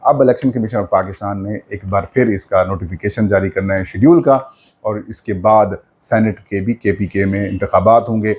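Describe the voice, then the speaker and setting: A man with a Pakistani accent and a fairly low voice delivers political news commentary. He speaks without a script, laying out details in an explanatory way. His phrases come in pieces, with frequent pauses as he goes. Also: a little mellow.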